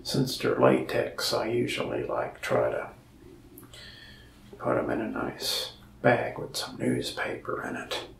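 A young man playing a lonely, friendly mask shop salesman in a soft-spoken ASMR roleplay, talking slowly and often slipping into a whisper. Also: a bass voice, big pitch swings, roomy sound, distant mic, slight background noise, heavily acted. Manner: slow, soft-spoken, gravelly with frequent whispered stretches. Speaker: ASMR creator. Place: small indoor room, mask wall behind.

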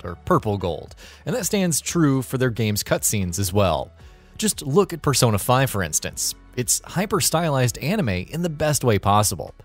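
A man delivers polished, scripted narration for a gaming list show. His pitch moves in lively swings as he stresses key words, and faint music sits behind him.

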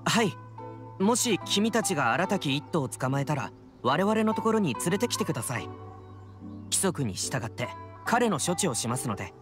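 Lively, formal young samurai guard. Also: male voice, on the high side, normal speed, over music. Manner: polite, official reporting tone. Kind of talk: anime game dialogue.

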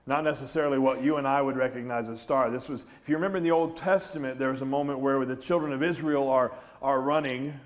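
A middle-aged American man preaching a conversational sermon as a pastor, telling the story in an animated way and putting questions to his congregation. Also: mid-range voice, fast talker, few pauses. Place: large church stage, indoors.

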